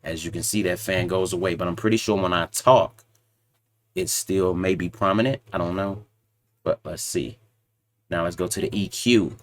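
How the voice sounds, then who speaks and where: A man with a very deep voice hosts his channel and talks through a microphone review in a loose, self-assured, rambling way. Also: normal speed. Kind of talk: casual tech review talk. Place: home computer setup with a loud PC fan. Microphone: desktop USB microphone.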